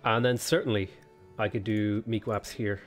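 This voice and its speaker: A man's voice: fairly low pitch, fairly even intonation, normal speed, soft background music. A man with a sniffly cold, casually commentating a strategy game while he thinks aloud, weighing choices with plenty of ums. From Ireland. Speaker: let's play host.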